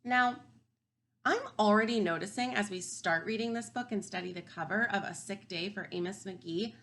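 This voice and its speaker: A woman teaching kindergarten through a read-aloud, thinking aloud and posing simple questions to children in an expressive, emphatic way. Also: mid-range voice, moderate pace. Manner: expressive, emphatic storybook delivery.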